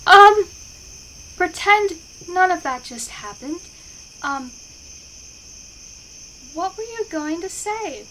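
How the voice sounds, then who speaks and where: A woman playing a shy, flustered, affectionate best friend in an intimate ASMR roleplay, speaking haltingly and bashfully. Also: very high voice, expressive, bright, forceful tone, heavily acted, poor audio. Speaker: voice actor in an audio roleplay. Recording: clear insect and outdoor ambience noise. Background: crickets and night ambience.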